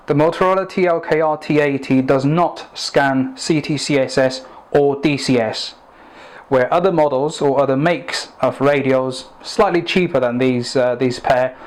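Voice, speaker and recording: A man narrating an informal product review, explaining things in a hesitant, halting way. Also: medium pitch.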